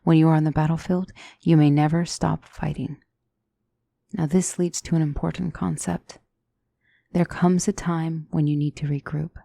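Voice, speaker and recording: A woman playing a gentle demonic tutor in a scripted ASMR roleplay, speaking softly and deliberately as a calm battle mentor. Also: low-pitched, vocal fry, dry recording. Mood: calm, instructive. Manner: measured, deliberate, soft-spoken, instructive.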